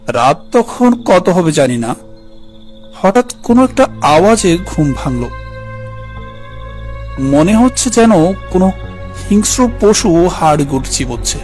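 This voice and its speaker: A man's voice: sits high, sing-song, slow, pauses often, darkish tone, soft background music. A man narrating a scripted horror story in the first person.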